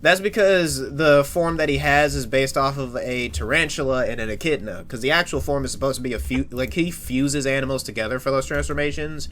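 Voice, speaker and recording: A man's voice: mid-range voice, a little fast, hardly any pauses, close to an everyday voice. A man playing a brash, talkative superhero who swears often and restarts his sentences in an improvised, in-character chat. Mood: casual banter. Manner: excitable, swears a lot, frequent restarts. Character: brash young adult male superhero, talkative.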